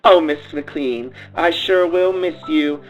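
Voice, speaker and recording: A man's voice: fairly high pitch, takes it slowly, highs cut off, soft background music. A man playing a vain, melodramatic young Southern pageant queen with a theatrical, gushing delivery in a comic radio play. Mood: sentimental. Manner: theatrical, gushing, shrieking when upset.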